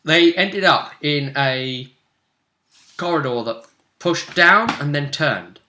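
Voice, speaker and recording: A man's voice: fairly high pitch, lively intonation, takes it slowly, sharp tone, a little hiss or hum. A man chatting casually as game master and host, recapping a tabletop role-playing session.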